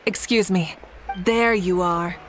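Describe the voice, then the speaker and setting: A woman's voice: fairly low pitch, steady tone, slow pace, a bit bright, faint music behind. An actress playing a commanding, solemn female leader in an anime-style game. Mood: solemn, assured. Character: commanding, solemn adult female leader presiding over a tournament.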